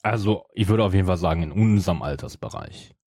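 Man in his forties, lowish raspy voice, casual podcast banter. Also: fast talker, a little mellow, no room sound, clean studio sound. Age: 40s. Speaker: podcast co-host. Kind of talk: casual chat about audio dramas.

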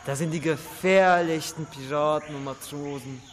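A man acting a menacing pirate captain in an amateur radio play, slow and commanding, in a reverberant recording. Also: fairly high pitch, steady tone, a little hiss or hum, close to an everyday voice. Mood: menacing, boastful. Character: bossy male pirate captain ruling his island, menacing.

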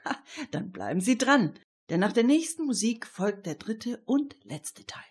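A woman's voice: fairly high pitch, sing-song, unhurried. A woman presenting and narrating a scripted radio story.

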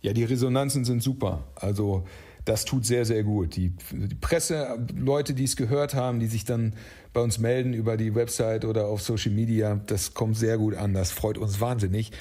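A man with a slightly husky voice giving explanatory answers as an interview guest. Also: on the low side, steady tone, normal speed, warm tone. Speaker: interview guest, audio drama writer.

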